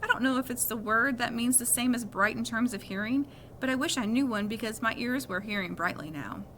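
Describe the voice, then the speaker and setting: Female storybook reader, bright higher voice, first-person narration, some background noise. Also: a little fast. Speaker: reader of a children's novel.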